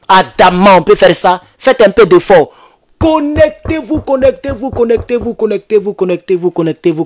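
An Ivorian man in his thirties speaking as an activist host, giving impassioned, urgent commentary to his online followers and repeating phrases for emphasis. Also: sits high. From Ivory Coast. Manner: emphatic, repetitive, urgent, animated. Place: small indoor home studio. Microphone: desk microphone close in front.

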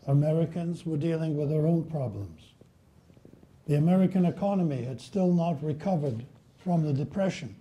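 An older man from Poland giving a measured, solemn history lecture at a lectern in a library room. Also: fairly high pitch, warm tone. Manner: measured, solemn, reads quotations slowly. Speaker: guest lecturer. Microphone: at a lectern, filmed by a far camera.

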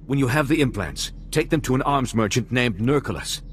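An actor playing a courteous, troubled ambassador in a video game, speaking formally and earnestly. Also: male voice, mid-range voice, expressive, brisk, close to an everyday voice. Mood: grave.

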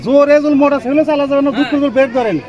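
A man loudly arguing and explaining about a road accident outdoors in a village market, talking over others. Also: very high-pitched, steady tone, very fast, lo-fi sound. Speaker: villager in a street argument. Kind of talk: heated roadside argument. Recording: outdoor crowd noise. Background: crowd chatter.

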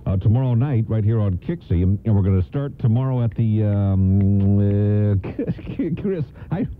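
A man's voice: lowish voice, lively intonation, unhurried. A middle-aged American man hosting a casual morning radio show, chatty and jokey. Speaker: radio host. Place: radio studio. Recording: narrow-band AM radio broadcast, noisy.

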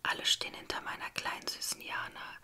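A woman's voice: a little fast, pushed and bright. A woman voicing a cruel, spiteful old grandmother in a menacing whisper for a story. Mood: gloating menace.

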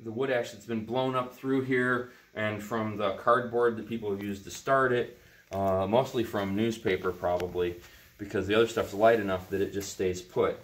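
A man's voice: fairly low pitch, steady tone, moderate pace. A middle-aged man explaining hands-on as he takes apart a cob heater indoors, rambling and full of ums and uhs. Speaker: natural builder demonstrating a heater. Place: indoors beside a cob heater in a barn workshop. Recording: roomy indoor echo.

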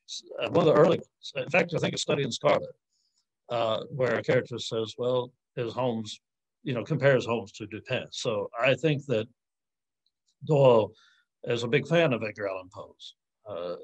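A man in his forties with a medium-pitched voice talks like a lecturer fielding questions on a video call. He thinks aloud in an informal way, pausing and rewording his thoughts partway through. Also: low-quality recording. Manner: hesitant, thinking aloud, often rephrasing mid-sentence. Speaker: academic lecturer answering audience questions. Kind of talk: informal literary Q&A talk.

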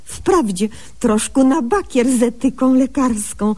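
A brisk, self-important young female doctor in a comic radio sketch. Her high voice swings widely as she recounts her diary in mangled, mock-literary phrases. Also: lively intonation, a little mellow. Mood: smug. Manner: mock-literary, deliberately garbled diction. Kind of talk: humorous radio sketch acting.

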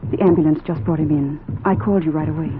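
An actor playing a brisk male hospital assistant reporting to a doctor in a 1940s radio drama. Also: fairly high pitch, steady tone, narrow, tinny audio, lightly acted. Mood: brisk, anxious. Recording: crackly, thin 1940s radio recording.

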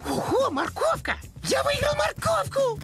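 A woman's voice: very high-pitched, big pitch swings, unhurried, over music, exaggerated voice. A woman voicing a bouncy young male rabbit in a cartoon, with an exuberant, childlike manner. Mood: excited. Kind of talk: cartoon dialogue.

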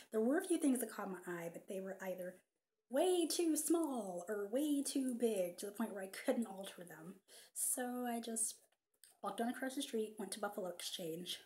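Casting: young adult woman, mid-pitched, chatty vlogger, webcam sound with a faint hiss. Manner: chatty, animated, many ums and asides, whispered quips. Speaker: vintage fashion vlogger. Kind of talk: casual haul vlog. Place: living room, seated on a sofa. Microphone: webcam at desk distance.

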